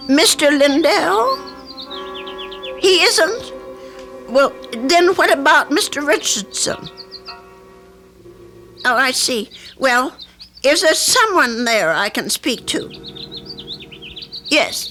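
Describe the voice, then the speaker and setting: A woman's voice: high voice, animated, takes it slowly, long pauses, bright, forceful tone, faint music behind, heavily acted. An actress playing an anxious, flustered elderly landlady with a hesitant manner in an old radio drama. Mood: nervous, polite. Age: older. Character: anxious, fretful elderly landlady, reluctant but conscientious. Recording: vintage radio drama recording.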